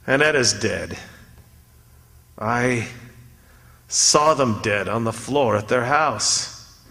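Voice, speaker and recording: An actor playing an innocent condemned man awaiting hanging, narrating a radio horror drama in an anguished, halting, theatrical way. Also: mid-range voice, exaggerated voice. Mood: bleak despair. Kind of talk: old-time radio horror drama, first-person narration. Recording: long reverb, loud music underneath. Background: loud dramatic music.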